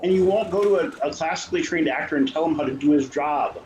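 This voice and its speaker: A man's voice: a little high, fast talker, a little mellow. A man chatting casually on a panel in a joking, teasing manner. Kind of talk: casual chat. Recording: echoey room with background noise.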